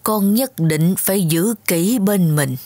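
A woman voicing a dying old king in a children's fairy-tale cartoon, speaking slowly and solemnly as a grave father. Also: mid-range voice, darkish tone, no room sound, studio quality. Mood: grave, weary. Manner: slow, solemn.

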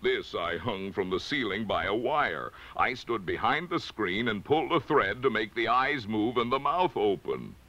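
Guy doing a old humbug wizard, slow n pompous but meek, storybook, slightly lo-fi. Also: lowish voice, big pitch swings, bright, forceful tone, full character voice. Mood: confiding. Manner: slow, stretched words.

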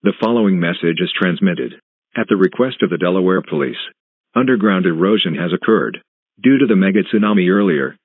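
A man reading a scripted emergency broadcast announcement in a flat, formal, synthetic manner. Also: deep voice, fairly quick, no room sound. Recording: tinny phone-like band, muffled husky sound.

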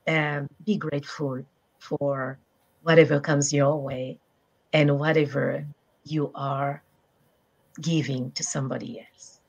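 Middle-aged woman from Cameroon, English; low-pitched, warm, searches for words; webcam call. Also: little pitch movement, slow pace, long pauses, breathy edge, dull audio. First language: French. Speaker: guest. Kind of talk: casual video call interview. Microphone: video call webcam.

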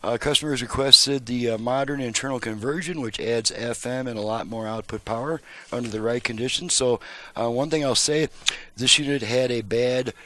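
An older American man talks through a hands-on demonstration in a casual, unscripted way. His voice sits at a medium pitch. He is plain-spoken and halting, like a repairer explaining his bench work. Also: fairly even intonation, a bit bright. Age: older, around 60s. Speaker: radio repairer and video host. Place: indoor home repair workshop. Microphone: headset microphone.

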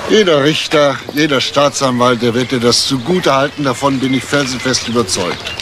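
An actor playing a calm, persistent police detective in a crime drama, speaking in a matter-of-fact, official way. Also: male voice, mid-range voice, normal speed, background noise, lightly acted. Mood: reassuring. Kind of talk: German TV crime drama acting.

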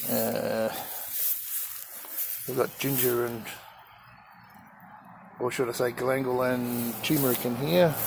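A man giving a casual, halting tour of his backyard garden, filmed on a handheld phone outdoors. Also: mid-range voice, steady tone, creaky, noisy background. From Australia. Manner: slow, halting, with frequent ums and uhs. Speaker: gardening channel host.

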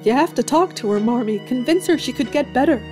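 An actress playing a stubborn, devoted young sister in an audio drama, earnest and insistent. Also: female voice, sits high, expressive, a little fast, warm tone, faint music behind. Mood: desperate.

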